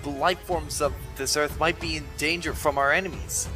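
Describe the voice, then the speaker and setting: A man as an earnest, bright-voiced young robot hero. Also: on the high side, steady tone, normal speed, faint music behind. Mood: earnest, concerned. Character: earnest, youthful male heroic robot. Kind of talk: dramatic comic-dub voice acting.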